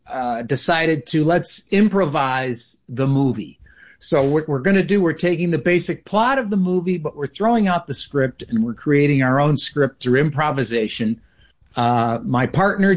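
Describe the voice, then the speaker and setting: A man from upstate New York, a guest improviser, telling stories in a casual radio interview with many restarts and self-corrections. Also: a little high. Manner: rambling, self-correcting storyteller.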